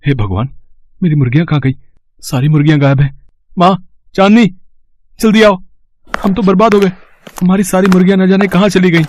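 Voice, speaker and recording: A man's voice: sits high, a little fast, pauses often, slight background noise, natural voice. A man voicing a hardworking young egg seller in a storybook tale, dramatic and earnest. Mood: panicked.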